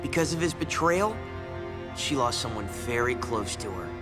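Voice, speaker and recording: An actor playing an excitable, friendly young male survivor in a video game cutscene. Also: mid-range voice, normal speed, lightly acted. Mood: earnest, explaining. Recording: music clearly under the voice.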